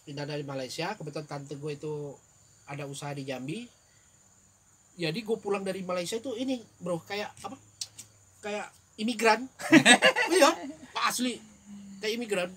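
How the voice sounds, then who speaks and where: A man's voice: sits high, moderate pace, bright, forceful tone, slight background noise, rough recording. A young man from Indonesia chatting casually, telling a long story with restarts, fillers and frequent laughs. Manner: laughs often, tells stories with restarts and fillers. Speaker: guest. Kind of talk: casual chat.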